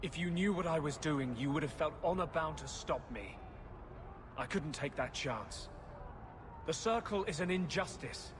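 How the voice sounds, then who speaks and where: An actor playing a grim, fanatical rebel mage in a fantasy game, speaking with solemn, resolute intensity. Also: male voice, a little high, lively intonation, normal speed, background noise, lightly acted. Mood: grim resolve. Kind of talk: dramatic fantasy game acting.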